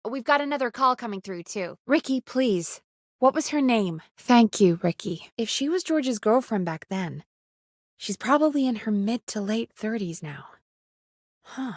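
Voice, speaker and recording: A small-town woman radio producer in a video game. Her voice sits high and swings widely in pitch as she chats, earnest and playfully teasing. The sound is dry and clean, with no room around it. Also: no room sound. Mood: uneasy, concerned. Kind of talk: video game voice acting, conversational.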